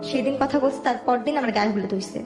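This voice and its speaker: A woman's voice: a little high, fast talker. A young adult Bangladeshi woman with a Dhaka accent, telling her own life story in colloquial, detailed conversation. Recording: roomy voice with music underneath. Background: background music.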